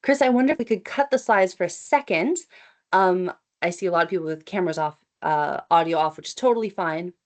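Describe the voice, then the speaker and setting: A woman's voice: fairly low pitch, brisk. A woman, presenting at an online meeting in a chatty, upbeat, inviting way over a computer mic. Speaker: event organizer presenting. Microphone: computer mic on video call.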